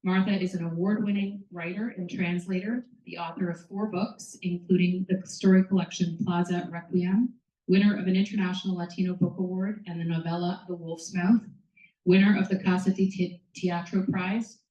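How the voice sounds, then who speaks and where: A woman's voice: fairly low pitch, flat delivery, moderate pace, dark tone, lo-fi sound. A woman hosting a reading series, giving a casual welcome and reading out a guest's introduction. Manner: casual, reads from notes. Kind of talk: event introduction. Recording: distant mic in echoey room.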